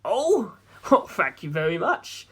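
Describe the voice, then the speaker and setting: A narrator acts out a big, confident young gentleman in an audiobook. He is smugly pleasant and possessive, stretching out his polite words with lively swings of pitch. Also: slow pace, breathy edge, heavily acted. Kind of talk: audiobook character dialogue.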